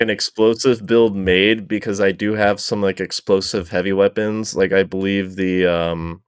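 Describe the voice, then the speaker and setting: A man with a low, smooth voice talks casually as a guest on a panel. His delivery stays flat and level, easygoing and a bit tentative, with a dry close sound. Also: no room sound. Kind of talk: casual chat.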